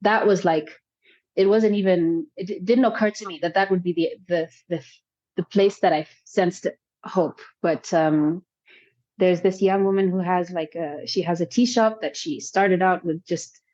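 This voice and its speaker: A woman with a fairly low voice answers interview questions about her filmmaking. She speaks reflectively, circling back and restarting her thoughts as she works them out. The audio is somewhat dull and lo-fi. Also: measured pace, darkish tone. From South Sudan. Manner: thoughtful, many restarts and hedges. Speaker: filmmaker guest.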